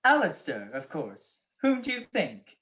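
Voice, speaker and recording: Young woman as flippant detective, low lively voice. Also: unhurried, exaggerated voice. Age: young adult. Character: suave, flippant male private detective husband. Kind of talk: 1940s radio detective drama acting.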